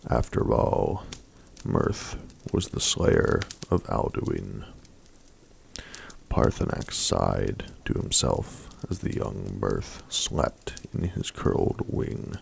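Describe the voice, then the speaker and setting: A man reading a fantasy story aloud in a hushed, mostly whispered ASMR style. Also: extremely low pitch, big pitch swings, slow pace, gravelly. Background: faint campfire crackle.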